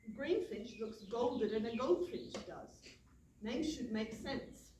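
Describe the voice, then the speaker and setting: A woman's voice: fairly high pitch, a little slow, warm tone, natural voice. A woman acting an anxious, melodramatic and blunt young castle guard in a staged comedy reading. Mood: puzzled, grumbling. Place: indoor studio theatre. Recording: roomy, distant stage pickup, clear noise.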